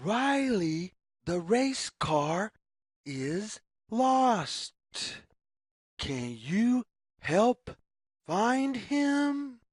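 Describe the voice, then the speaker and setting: A woman reading a story aloud for young children, phrasing deliberately like a teacher and putting questions to the listener. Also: fairly high pitch, darkish tone, breathy edge, no room sound, studio quality. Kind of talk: children's story read-aloud, scripted narration.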